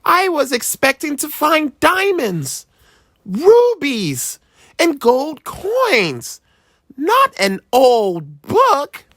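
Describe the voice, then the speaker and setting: A storyteller voicing an excited young boy digging for treasure in a children's storybook reading. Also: dry recording, studio quality, full character voice. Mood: let down. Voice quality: high, breathless. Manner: gushing, quick. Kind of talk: children's storybook read-aloud.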